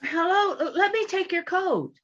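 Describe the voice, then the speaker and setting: Very high-voiced sitcom daughter. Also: female voice, moderate pace, a bit bright, no room sound, lo-fi sound. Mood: eager, polite. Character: sweet, helpful young daughter. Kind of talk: old-time radio comedy acting. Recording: noisy, clicky background.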